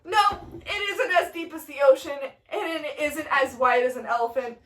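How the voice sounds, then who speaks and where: A woman voicing a cocky, melodramatic young brawler in an amateur play retelling, declaiming loudly in modern slang. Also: sits high, animated, moderate pace. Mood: wounded, bitter. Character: Mercutio, cocky taunting young male brawler, melodramatic when wounded. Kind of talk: amateur retelling of a stage tragedy. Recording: echoey room, distant microphone.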